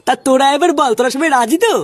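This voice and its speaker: A woman's voice: high-pitched, rapid-fire, no echo, studio quality, lightly acted. A woman voicing a bossy, boozy young male ringleader in a comedy cartoon, brash and ordering friends around.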